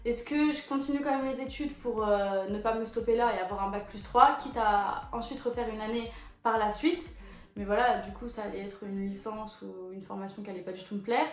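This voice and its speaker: A woman in her twenties, a photo model, chatting casually as she answers viewer questions, with lots of relaxed fillers. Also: mid-range voice, brisk. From France. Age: 20s. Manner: chatty and relaxed, with many fillers like 'du coup' and 'voilà'. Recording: echoey room with faint background music.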